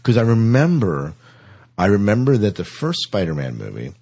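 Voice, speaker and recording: Deep, husky American man with lively intonation. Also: moderate pace. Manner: conversational, informal. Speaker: podcast host. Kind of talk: casual entertainment news chat.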